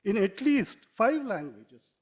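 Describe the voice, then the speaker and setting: Indian English man, high-pitched, native Konkani speaker, measured formal reading with small self-corrections. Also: big pitch swings, breathy edge. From Mangalore, India. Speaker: writer giving a talk. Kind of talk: formal prepared speech.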